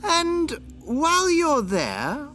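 An actress playing a scheming elder vampire in charge, imperious and coaxing, with theatrical, drawn-out emphasis in an audio drama. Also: female voice, high-pitched, huge pitch swings, a bit bright, soft background music, heavily acted. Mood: sly, coaxing. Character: scheming elder vampire superior, grandly coaxing, falsely casual.

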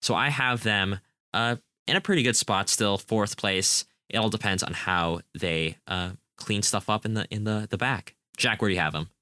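A young man with a very low pitch and a bright, forceful tone leads a casual soccer preview. He talks enthusiastically, rambling and restarting his sentences. The sound is dry and close. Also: normal speed, dry recording. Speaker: podcast co-host.

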